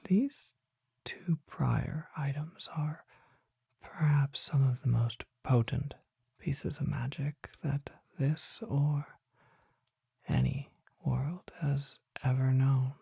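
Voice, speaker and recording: Very close and dry, a mysterious vampire apothecary, played by an ASMR performer, whispers in a husky, breathy voice with a crackle of fry. The learned figure speaks formally and deliberately, leaving measured pauses in a slow roleplay monologue. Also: mid-range voice, steady tone, warm tone, no room sound, lo-fi sound, heavily acted. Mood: hushed, mysterious. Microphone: close microphone.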